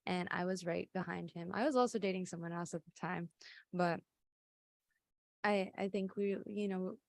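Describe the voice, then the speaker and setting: A woman's voice: on the low side, fairly even intonation, normal speed, creaky, no echo, studio quality. An eighteen-year-old woman telling a story on a casual podcast, chatty and full of fillers. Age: young adult. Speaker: co-host.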